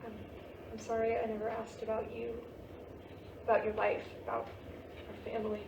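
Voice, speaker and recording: A woman's voice: a little high, completely flat delivery, normal speed, gravelly, lo-fi sound. A woman playing an earnest, grieving, unsure teenage granddaughter in a staged play reading, hesitant and emotionally open. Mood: sorrowful, tender. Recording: distant, echoey, faintly noisy staged reading.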